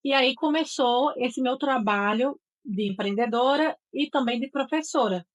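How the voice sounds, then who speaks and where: A woman's voice: high-pitched, unhurried, dull audio. A middle-aged woman with a Northeastern Brazilian accent from Sergipe, a voice and speech therapist telling her career story in an interview with an assured, articulate manner. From Aracaju, Sergipe, Brazil.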